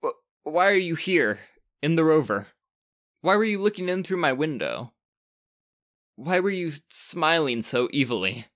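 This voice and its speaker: A frightened young boy stammers out his words, earnest and a little breathless, as a narrator reads him. The voice moves around lively and sounds bright. The pace stays unhurried. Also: on the high side. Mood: nervous.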